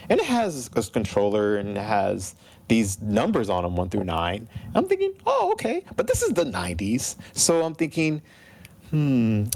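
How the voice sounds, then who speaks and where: Guy in his 30s just chatting, super animated storyteller, voice swings up and down a lot. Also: mid-range voice, gravelly, husky. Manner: acts out remembered dialogue. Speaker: interview guest, video game composer. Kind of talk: casual chat, animated storytelling.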